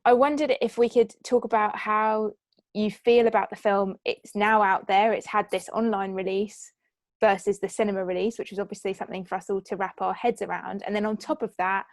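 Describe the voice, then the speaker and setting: Casting: female panel moderator, conversational and thoughtful, medium pitch. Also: fairly even intonation, normal speed, no room sound. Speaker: moderator, film producer hosting a Q&A.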